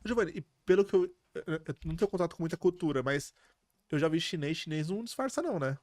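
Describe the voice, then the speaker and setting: Quick-talking man, guest. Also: fairly high pitch, lively intonation, darkish tone, dry recording, studio quality. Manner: short, easygoing remarks. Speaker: podcast guest. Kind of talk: casual interview chat.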